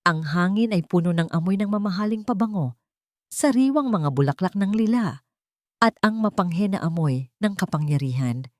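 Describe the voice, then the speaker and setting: A woman reads out a dramatic audio story in a measured, steady storytelling manner. Her voice sits low for a woman, and the recording is close and completely dry, with no room sound. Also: dry recording. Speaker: narrator. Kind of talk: scripted dramatic narration.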